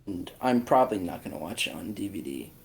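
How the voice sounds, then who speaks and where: A man's voice: low-pitched, sing-song, a little fast, creaky. A man giving blunt, dismissive opinions in a casual podcast chat, repeating his points for emphasis. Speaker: podcast panelist.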